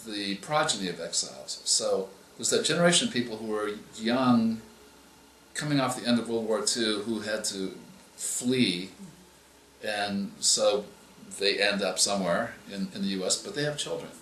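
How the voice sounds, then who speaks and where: A male author answering interview questions, explaining in a measured way and often restarting his phrases. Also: fairly low pitch, a bit bright, a little hiss or hum. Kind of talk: interview answers on writing craft. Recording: echoey room with faint ambience.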